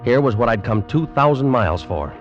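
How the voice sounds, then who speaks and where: An actor playing a determined male animal collector who gives brisk, dramatic first-person narration in a 1940s radio drama. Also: mid-range voice, sing-song, natural voice. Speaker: narrator and lead. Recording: dull old radio recording with music.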